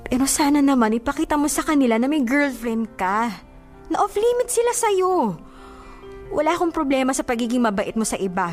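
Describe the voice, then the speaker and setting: A hurt young girlfriend in a radio drama, jealous and reproachful. Her high voice moves animatedly up and down as she insists, with faint music behind her. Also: very animated, brisk. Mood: hurt, pleading.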